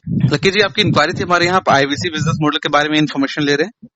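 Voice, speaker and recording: A man making a polite, upbeat and persuasive scripted sales pitch as a telesales caller on a phone call. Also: rapid-fire, a crowd in the background. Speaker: telesales caller for a business training company.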